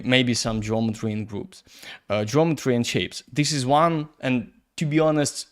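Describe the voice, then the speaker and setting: A man teaching 3D software in English, which is not his first language. He thinks aloud at a slow, unhurried pace, stops often on fillers, and has a clean, resonant voice at a middle pitch. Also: smooth. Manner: halting non-native English, many fillers; thinks aloud while working. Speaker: 3D visualization instructor. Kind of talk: screen-recorded software tutorial.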